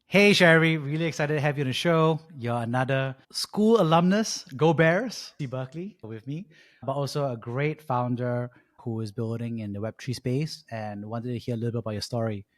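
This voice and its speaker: Male podcast host, mid-pitched, upbeat and chatty, dry close recording. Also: normal speed, dry recording. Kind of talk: podcast interview and scripted intro.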